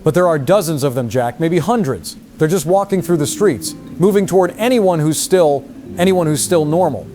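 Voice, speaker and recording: A man playing a shaken field reporter giving a halting, alarmed live report. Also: on the high side, dark tone, faint music behind, close to an everyday voice. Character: shaken male field reporter witnessing horror. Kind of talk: dramatized live news report.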